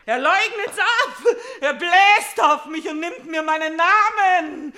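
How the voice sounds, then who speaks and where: An actress playing an embittered, degraded princess in a German radio tragedy, declaiming with impassioned theatrical delivery in standard stage German. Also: female voice, very high-pitched, slow, bright, forceful tone, full character voice. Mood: fierce, scornful. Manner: declamatory, impassioned, with bitter outbursts and whispers.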